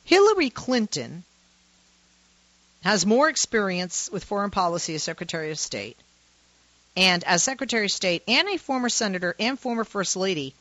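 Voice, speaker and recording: A woman with a low voice for a woman hosts a call-in talk radio show, talking in a passionate, emphatic way. Her pitch swings a lot as she speaks. The sound is dry and a little muffled, like a radio broadcast. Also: very animated, moderate pace, fairly bright tone, no echo. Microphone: studio microphone.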